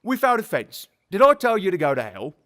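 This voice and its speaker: A man's voice: high-pitched, huge pitch swings, fairly quick, fairly bright tone, full character voice. Guy doing an old zookeeper in an audio drama, grumbly but friendly, rambly blunt storyteller. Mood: gruff, philosophical. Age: older. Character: grumpy but genial elderly working-class English zookeeper. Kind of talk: audio drama character acting.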